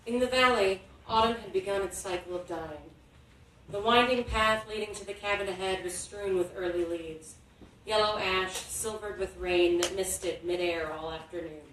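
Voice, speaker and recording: A woman's voice: medium pitch, moderate pace, fairly bright tone. A woman giving a literary reading of prose narration as a guest reader. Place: indoor book launch event. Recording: echoey hall, distant, faint noise.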